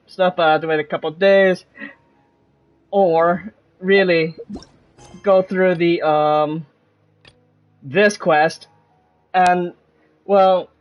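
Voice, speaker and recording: A man giving casual gameplay commentary as a streamer, rambling and trailing off mid-thought. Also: high voice. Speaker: game streamer. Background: game music behind the voice.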